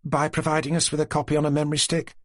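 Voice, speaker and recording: A man's voice: a little high, monotone, racing, no echo, clean studio sound, pitched above the performer's own voice, lightly acted. A man doing a wry, reluctant female detective.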